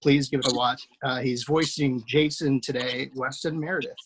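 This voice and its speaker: A man hosting and narrating a screenplay table read, relaxed and chatty, with many fillers. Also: medium pitch, normal speed, crowd noise. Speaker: writer and host.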